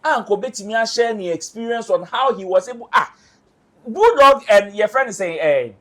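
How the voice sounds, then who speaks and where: Passionate high-voiced Ghanaian man. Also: animated, normal speed, a bit bright. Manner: passionate, emphatic, repeats rhetorical questions. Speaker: entertainment show panelist. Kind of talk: animated studio discussion.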